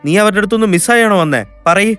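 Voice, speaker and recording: A man's voice: sits high, fast, a bit bright, smooth, soft background music. A man voicing a sympathetic, grave authority figure in a children's moral story. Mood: concerned. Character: sympathetic man in authority breaking bad news gently.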